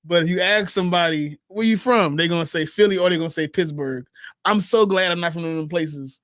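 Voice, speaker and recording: A man's voice: high voice, brisk, a bit bright, no echo, studio quality. A man from Philadelphia co-hosting a casual podcast chat, laughing often and repeating his words. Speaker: podcast co-host.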